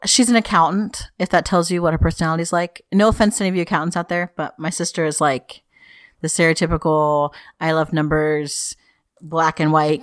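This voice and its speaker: A woman's voice: fairly low pitch, no room sound, clean studio sound. A woman with a rich voice, co-hosting a podcast in casual, teasing chat. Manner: playful teasing. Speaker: podcast co-host.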